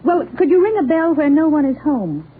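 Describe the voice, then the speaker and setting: A woman's voice: high voice, very animated, a little fast, noisy background. An actress playing a fussing, indulgent middle-aged mother in a 1940s radio comedy. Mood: fussing, mild. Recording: tinny old radio broadcast.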